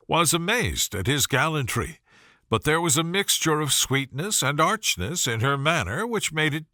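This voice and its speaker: A male audiobook narrator reads classic fiction with measured, formal phrasing. His voice is fairly high and bright, with a very lively melody that carries the storytelling. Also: no echo, studio quality.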